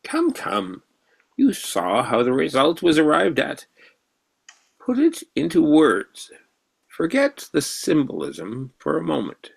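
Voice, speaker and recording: An actor playing an elderly, intense mathematician who quizzes his pupil in a deliberate professorial way in a radio drama. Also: on the high side, huge pitch swings, vocal fry, breathy edge, heavily acted. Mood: brisk, testing. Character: elderly, intense mathematician mentor, excited and probing.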